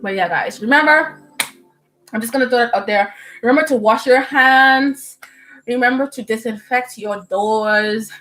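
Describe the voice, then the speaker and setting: A young woman from the Bahamas, a medical student, chatting casually with viewers on a livestream at home, animated and full of fillers and laughs. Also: on the high side, normal speed, poor audio. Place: indoors at home. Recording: soft music playing behind.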